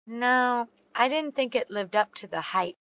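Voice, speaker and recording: Woman reading practice lines super slow, a bit high, dry close mic. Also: fairly bright tone, dry recording, poor audio. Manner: slow and carefully enunciated. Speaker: English teacher reading dialogue. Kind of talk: slow read-aloud English practice phrases.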